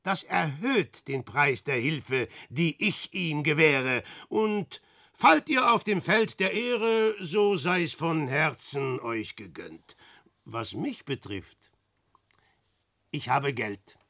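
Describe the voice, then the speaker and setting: Radio-play lead: a vain, scheming adventurer, slow and gravelly, bright tone, dry studio. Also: male voice, fairly high pitch, lively intonation, dry recording, full character voice. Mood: mocking. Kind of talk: classic radio drama acting.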